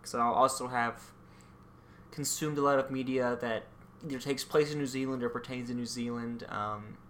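A young man co-hosting a podcast in casual chat, often pausing with ums and restarting his sentences. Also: medium pitch, fairly even intonation, a bit bright, a little hiss or hum. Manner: hesitant, many ums and restarts. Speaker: podcast co-host.

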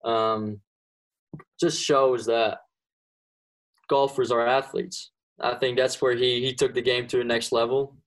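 A man's voice: mid-range voice, takes it slowly, long pauses, poor audio. Young man, casual interview. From Belgium. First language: Dutch (Flemish). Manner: relaxed, many ums and restarts. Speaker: golfer being interviewed.

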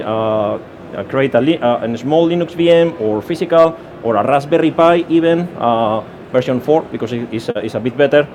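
A man's voice: mid-range voice, a little mellow, slight background noise. A man from Spain with a Spanish accent, giving a technical conference talk with many fillers and restarts. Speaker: conference speaker. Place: conference session room.

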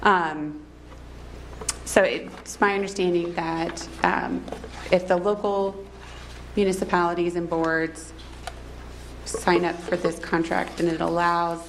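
A woman board member asking questions at a public meeting in a polite, inquiring way. Her voice is lowish and strongly gravelly with vocal fry. A faint room noise sits behind her. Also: rough recording.

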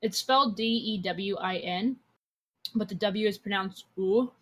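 A woman's voice: medium pitch, measured pace, a bit bright. A woman chatting casually as a player in a tabletop game, informal and self-critical, often repeating herself. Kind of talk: casual chat.

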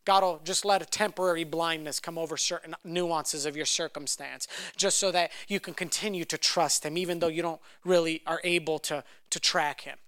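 A young man whose first language is Romanian, preaching a sermon and telling a personal story, often restarting on repeated words as he builds to loud, fervent passages. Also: on the high side, fairly even intonation, normal speed, hardly any pauses, clear, resonant tone, no room sound, studio quality.

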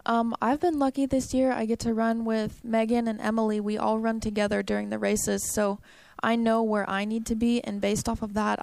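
A young woman answers questions about her running with a gravelly, creaky voice. Her pitch stays flat and even while she chats on easily. The room sounds dry and close. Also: dry recording. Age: young adult. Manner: chatty, upbeat, frequent "um" and "like". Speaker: college cross country runner. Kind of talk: interview answers.

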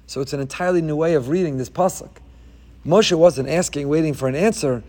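Man with a slightly high voice teaching a Torah lesson, lively storyteller who acts out the characters. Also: fairly quick. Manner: animated storytelling, acts out quoted figures; mixes Hebrew verses into his talk.

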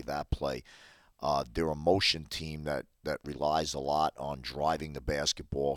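A man's voice: very deep voice, pushed and bright, dry recording, studio quality. A man, a basketball coach on a podcast, explaining his game plan methodically point by point. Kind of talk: podcast interview.

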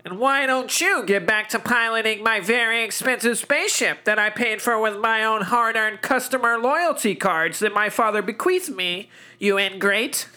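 A man's voice: sits high, normal speed, bright, forceful tone, clean tone, voice pushed up. A man voicing a grandiose, tyrannical alien space lord, proclaiming in a pompous, imperious manner. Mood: indignant, commanding.

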